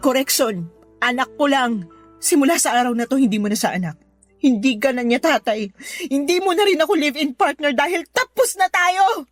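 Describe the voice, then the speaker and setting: A high-pitched, heartbroken young mother in a radio drama. She sounds worn out, emotional and bitter about her cheating partner, with soft music behind her. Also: expressive, normal speed, dry recording. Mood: hurt, bitter anger. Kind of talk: Tagalog radio drama acting.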